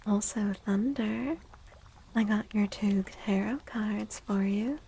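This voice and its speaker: A woman speaking softly and breathily, often near a whisper, in a gentle ASMR livestream style. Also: medium pitch, slow pace, gravelly, slight background noise. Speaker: streamer and tarot reader. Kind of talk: soft-spoken ASMR livestream chat.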